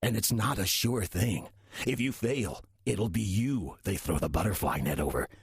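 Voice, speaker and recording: Slothful demon in human guise, played by a man: heavily husky, animated delivery, sardonic and coaxing, for radio drama. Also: fairly low pitch, moderate pace, no room sound, studio quality, heavily acted. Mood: coolly menacing. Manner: sardonic, casual, persuasive. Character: wry, slothful male demon posing as a friendly churchgoer. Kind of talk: audio drama dialogue.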